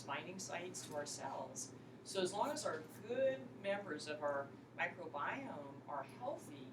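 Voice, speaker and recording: A woman lecturing to a college class in a folksy, encouraging way, prompting students with questions as she goes. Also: deep voice. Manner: folksy, addresses students often as "you guys" and "folks"; encouraging, question-and-answer teaching. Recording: clearly noisy classroom recording.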